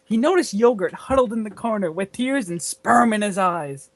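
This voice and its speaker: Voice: mid-range voice, animated, normal speed, no room sound. A person reading their own comic fan fiction aloud in a theatrical way, as a storyteller among friends. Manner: theatrical, often breaking into laughter.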